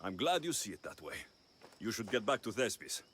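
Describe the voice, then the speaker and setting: An actor playing a confident, wry young Greek mercenary hero in a video game, speaking in a direct, assured manner. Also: male voice, lowish voice, big pitch swings, a little fast, a bit bright, a little hiss or hum. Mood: resolute. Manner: direct, assured, dryly teasing. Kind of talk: video game cutscene acting.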